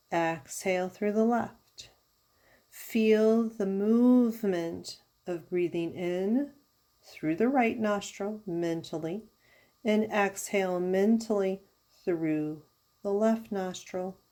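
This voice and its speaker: A woman leading a guided yoga nidra meditation as a teacher, giving soothing, unhurried instructions with pauses. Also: mid-range voice, mellow. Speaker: yoga therapist guiding a meditation.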